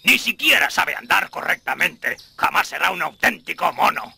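A man voicing a stubborn, hot-tempered male ape in a dubbed cartoon, scolding and gruff. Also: high voice, very animated, normal speed, pushed and bright, exaggerated voice. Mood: dismissive. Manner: scolding, exclamatory.

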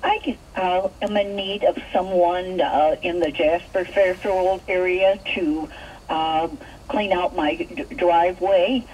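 A woman phoning a radio show with a request, speaking in a hesitant, halting way. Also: lowish voice, steady tone, darkish tone. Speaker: caller. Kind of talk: phone call requesting help. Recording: distant, muffled, slightly noisy phone line.

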